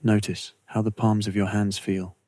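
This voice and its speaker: A man narrating a scripted guided meditation in a slow, measured, soothing way with long pauses. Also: fairly low pitch, little pitch movement, warm tone, dry recording.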